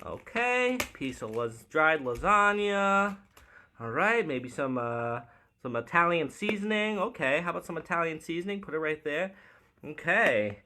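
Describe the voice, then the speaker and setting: A man plays a quirky, chatty host who makes odd sandwiches and interviews his guest. His voice is very bright and a little high, and it swoops up and down. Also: animated, a little slow. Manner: chatty, playful, hosting a mock show. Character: quirky male sandwich maker called Shortcake. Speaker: comedic host.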